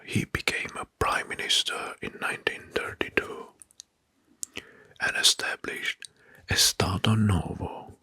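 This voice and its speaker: A man whispers in a low, very husky and breathy voice with a gravelly edge. He narrates history in ASMR style, setting out short phrases slowly and deliberately. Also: pushed and bright, no echo. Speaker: ASMR video host. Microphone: close microphone.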